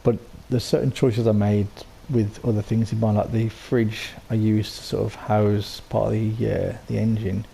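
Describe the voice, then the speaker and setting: A man with a sore throat and a croaky voice, casually talking through how he built something, rambling with lots of ums and restarts. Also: lowish voice, little pitch movement, moderate pace, hardly any pauses, mellow, a little hiss or hum. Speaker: game settlement builder. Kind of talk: casual voice-over walkthrough.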